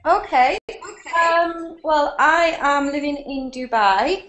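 A woman's voice: high-pitched, takes it slowly, a bit bright, soft background music. A woman, a student introducing herself casually and tentatively on a video call. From Yorkshire, England. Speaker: course student. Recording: echoey video call, faint noise.

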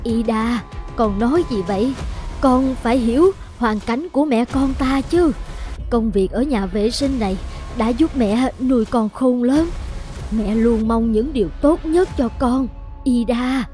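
A woman playing a gentle, anxious, devoted middle-aged mother in an animated fairy tale, with a coaxing, worried manner. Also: a little high, a little slow, faint music behind, close to an everyday voice. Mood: hurt, pleading.

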